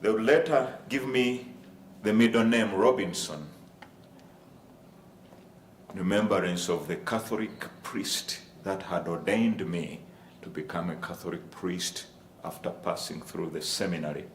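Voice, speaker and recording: A man from Uganda, giving a formal presentation on a university panel and addressing the audience as ladies and gentlemen. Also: low voice, steady tone, unhurried. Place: lecture room. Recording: echoey room with faint ambient noise.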